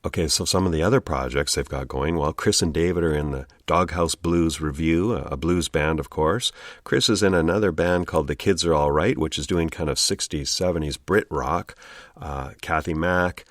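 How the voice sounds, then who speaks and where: A man's voice: a bass voice, moderate pace, no echo, clean studio sound. A man hosting a podcast in a casual, chatty narration. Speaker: podcast host.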